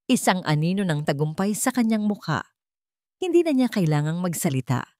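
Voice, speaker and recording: Low-pitched woman narrating a dramatic story. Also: darkish tone, dry recording, clean studio sound.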